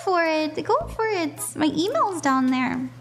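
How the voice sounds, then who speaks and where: A young woman from the southern United States, chatting with viewers while giving live palm readings, bubbly and quick to laugh. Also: high voice, expressive, faint music behind.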